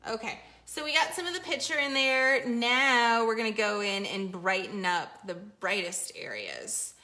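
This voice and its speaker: A woman teaching a live paint-along lesson from her studio easel, chatty and encouraging, a little hoarse as she is losing her voice. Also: a little high, animated, measured pace, sharp tone, rough recording. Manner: chatty, encouraging, reads viewer comments aloud. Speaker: painting instructor. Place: home art studio at an easel.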